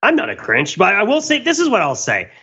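A man with a fairly high, bright voice and very lively intonation. He co-hosts a casual comedy podcast, explaining things with emphasis and enthusiasm. The recording is a little dull and lo-fi. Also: fairly quick, slightly rough. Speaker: podcast co-host. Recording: slightly dull, lo-fi microphone sound.

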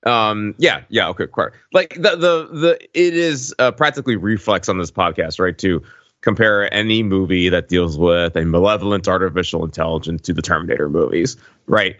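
Bright, lowish-voiced man, halting podcaster. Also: dry recording, dull audio. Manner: halting, often repeats words and checks with "right?". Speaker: podcast co-host. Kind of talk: casual film discussion.

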